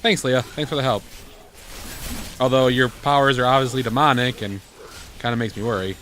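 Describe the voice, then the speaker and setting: A man's voice: medium pitch, fairly even intonation, moderate pace, a bit bright, a little hiss or hum. A man giving casual, wry and sarcastic commentary as he plays a video game. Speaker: video game let's play host.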